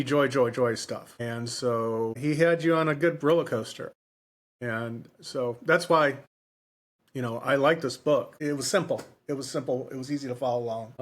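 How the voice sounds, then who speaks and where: An American man co-hosting a podcast, discussing books casually in a rambling way and repeating his phrases. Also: medium pitch. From Michigan and Texas, US. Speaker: book podcast co-host.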